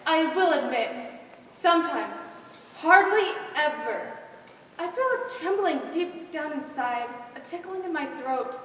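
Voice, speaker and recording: A woman's voice: sits high, lively intonation, measured pace, fairly bright tone. A teenage girl acting a stern, humorless magistrate in a school stage comedy, with pompous, formal, emphatic delivery. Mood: wistful. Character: stern humorless female magistrate, indignant and duty-bound. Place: school stage, recorded from the audience. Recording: echoey hall from far off, noisy.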